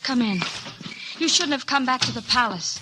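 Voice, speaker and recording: A woman's voice: high-pitched, lively intonation, normal speed. An actress playing a proud, anguished noble lady in love, earnest and theatrical in 1950s radio melodrama style. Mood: alarmed. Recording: old radio recording with faint noise.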